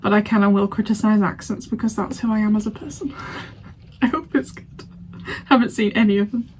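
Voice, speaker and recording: A woman's voice: medium pitch, fairly quick, darkish tone, husky. A young woman from Lancashire with a Lancashire accent, chatting casually as a reaction vlogger, laughing often and sounding playfully indignant. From Lancashire, England. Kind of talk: casual reaction chat to camera.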